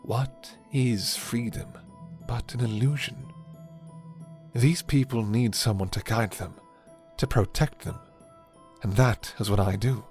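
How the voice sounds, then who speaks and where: A man voicing a suave, menacing, condescending vampire lord in an ASMR roleplay, courtly and theatrical, with deliberate delivery. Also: medium pitch, darkish tone, lightly acted. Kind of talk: ASMR roleplay character acting. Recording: music behind the voice.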